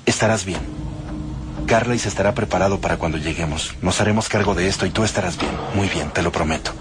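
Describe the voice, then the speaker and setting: A man's voice: sits low, fast talker, noisy background, lightly acted. A man voicing a tense, protective young male vampire, speaking with urgent, curt reassurance over background music.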